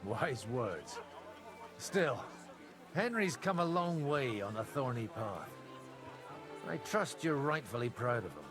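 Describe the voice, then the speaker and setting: A wry, lively medieval priest. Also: male voice, medium pitch, takes it slowly, pauses often, over music. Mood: courteous. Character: roguish disgraced medieval priest, elder brother, wry and plain-spoken. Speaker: video game character. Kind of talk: cinematic video game dialogue.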